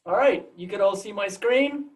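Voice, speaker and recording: A man's voice: sits high, expressive, moderate pace, distant mic. A man with a Puerto Rican accent, a native Spanish speaker, giving an informal, storytelling seminar talk on a video call and often repeating words as he goes. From Puerto Rico. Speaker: guest seminar speaker, biology professor.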